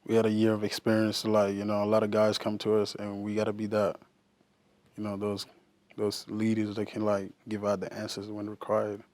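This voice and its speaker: Young male athlete with a flat, halting delivery. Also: fairly low pitch, dry recording. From Australia. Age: young adult. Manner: halting, full of fillers like "uh" and "like". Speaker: college basketball player. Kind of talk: casual interview answers.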